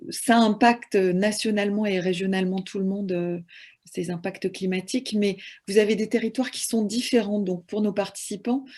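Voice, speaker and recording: A woman's voice: mid-range voice, fairly even intonation, moderate pace, darkish tone. A woman moderating an online round table, introducing panelists with frequent hesitations and fillers over a video call.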